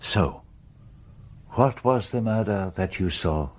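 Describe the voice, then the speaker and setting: An actor playing a grave detective in a radio play, asking measured, gentle, precise questions in a husky voice. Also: male voice, fairly low pitch, expressive. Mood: grave, probing. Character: grave, probing male detective questioning a child.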